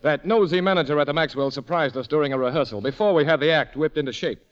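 An actor playing a suave, brash showman and card sharp, glib and mock-formal, in 1940s radio drama. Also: on the high side, fast talker, lightly acted. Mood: breezy. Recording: dull 1940s radio recording.

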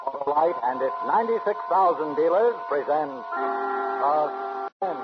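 A man's voice: fairly high pitch, expressive, unhurried. A man announcing a program in a formal, portentous 1950s radio style with scripted, dramatic delivery. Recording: dull vintage radio, loud music behind.

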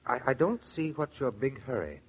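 A man's voice: mid-range voice, big pitch swings, moderate pace, breathy edge, lo-fi sound. A man playing a kindly, persuasive family friend and colleague in a 1940s radio drama. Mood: persuasive, reassuring.

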